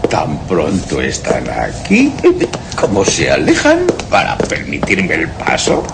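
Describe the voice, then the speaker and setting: A man's voice: rumbling low, wildly swooping pitch, takes it slowly, gravelly, heavy background noise, full character voice. A man playing a dazed, giggly drunken soldier in a radio play, rambling with a slur. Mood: tipsy wonder.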